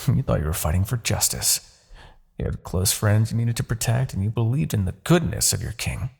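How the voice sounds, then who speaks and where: A demon king in a fantasy roleplay audio, immortal, smug and coldly persuasive. The voice is very husky and thick with gravel, and it swings expressively up and down. He lingers on words with theatrical, condescending menace. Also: on the low side, animated, normal speed, darkish tone, full character voice. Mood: exasperated, taunting. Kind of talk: fantasy ASMR roleplay acting.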